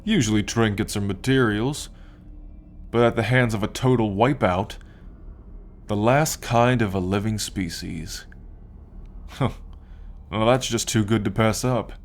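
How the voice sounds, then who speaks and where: A man playing a smug, possessive alien captor in an ASMR roleplay, speaking in a condescending, taunting and menacing drawn-out way. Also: lowish voice. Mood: smug, gloating. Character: smug, possessive male alien abductor and collector. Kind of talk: ASMR roleplay, slow dramatic monologue.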